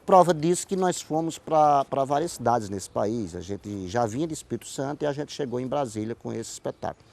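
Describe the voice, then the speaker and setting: A man's voice: mid-range voice, expressive, brisk, gravelly, darkish tone. A man from Paraíba with a Northeastern Brazilian accent, telling stories as an interview guest, repeating and correcting himself as he goes. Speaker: interview guest, writer and playwright. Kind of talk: conversational storytelling. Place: TV studio.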